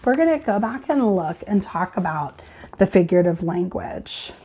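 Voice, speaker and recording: A woman teacher reading aloud and explaining to children in an expressive, deliberate, instructive way. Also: fairly low pitch, slightly rough.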